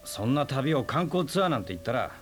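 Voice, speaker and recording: An actor playing a brash, teasing man who talks in a rough, casual, wry way in a radio drama. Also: mid-range voice, big pitch swings, a little fast, soft background music, natural voice. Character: brash, teasing young man, stubborn and playful. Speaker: houseguest.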